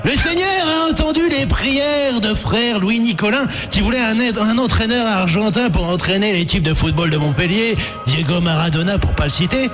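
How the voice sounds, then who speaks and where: A man's voice: moderate pace, a bit bright. A man putting on the voice of a newly elected pope, preaching solemnly in a comic radio sketch, over music on a radio broadcast. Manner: solemn pontificating, booming sermon delivery. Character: man playing the new pope, pompous preacher.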